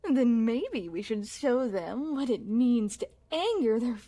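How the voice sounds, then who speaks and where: A high, swooping voice for a yandere princess, acted by a woman in an ASMR roleplay. She speaks slowly in regal, formal phrases, cooing sweetly at her beloved. Underneath the coyness she is possessive and menacing. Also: big pitch swings, warm tone, heavily acted. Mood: scornful, possessive. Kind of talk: ASMR roleplay, scripted monologue to the listener.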